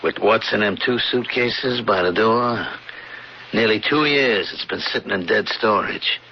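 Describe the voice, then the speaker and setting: Low-voiced menacing gangster, old radio. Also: male voice, a little slow, slightly rough. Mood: cold, boastful. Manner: blunt tough-guy slang, barked threats. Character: menacing middle-aged male robber and killer, gruff, suspicious. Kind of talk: radio crime drama acting. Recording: dull, noisy old radio broadcast.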